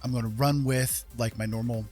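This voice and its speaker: A man's voice: medium pitch, fairly even intonation, a little fast, a little mellow, faint music behind. A man hosting a casual art livestream, chatting in a rambling, folksy way. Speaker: livestream host and artist. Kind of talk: casual livestream chat while making art.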